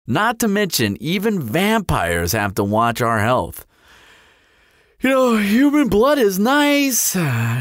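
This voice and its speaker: A man's voice: on the high side, no echo, clean studio sound, heavily acted. A man playing a flirtatious, sardonic vampire in a roleplay audio drama, talking in a glib, teasing, playful drawl. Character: flirtatious, sardonic male vampire toying with a slayer.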